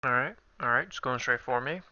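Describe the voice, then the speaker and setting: A man's voice: a little fast, sharp tone, no echo. A young man with a stuffy nose, casually thinking aloud while commentating a card game. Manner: thinking aloud, low-key. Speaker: gameplay commentator. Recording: dull lo-fi recording.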